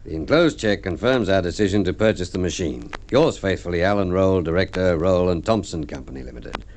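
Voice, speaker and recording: Glib company director, swooping delivery. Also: male voice, low voice, expressive, a little fast, a little mellow, a little hiss or hum. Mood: affable, brisk. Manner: glib, self-assured. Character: smug, suave male company director, a cool schemer. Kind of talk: mid-century radio drama acting.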